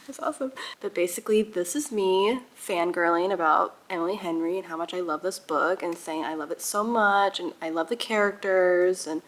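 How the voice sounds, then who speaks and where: A young woman chatting casually to viewers as a book vlogger, with a gushing, giggly and emphatic manner. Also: lowish voice. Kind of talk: casual reading vlog chat.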